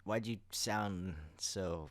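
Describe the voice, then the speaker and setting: Low-voiced man, dry podcast mic. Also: drawn-out words, dry recording. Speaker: podcast co-host. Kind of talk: casual podcast banter.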